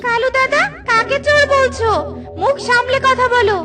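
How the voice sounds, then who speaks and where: Woman as a very shrill scolding cartoon bird. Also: fairly even intonation, normal speed, bright, forceful tone, soft background music. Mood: indignant scolding. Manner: scolding, indignant. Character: outspoken female bird scolding a wrongdoer. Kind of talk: children's cartoon dialogue.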